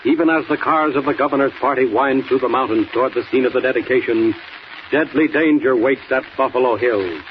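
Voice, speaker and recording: A man's voice: medium pitch, brisk. A man narrating a 1940s radio serial in a dramatic announcer style. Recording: muffled, noisy 1940s radio recording.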